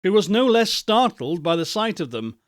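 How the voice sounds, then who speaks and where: A man reading a classic ghost story aloud as an audiobook, in a measured, expressive storytelling manner. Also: high-pitched, no room sound, studio quality. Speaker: audiobook narrator. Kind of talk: scripted narration of a classic novel.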